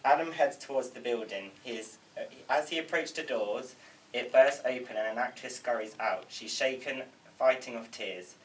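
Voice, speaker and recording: A man's voice: medium pitch, normal speed. A man reading screenplay stage directions aloud at a table read. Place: indoor room, group table read. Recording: slightly noisy room, distant mic.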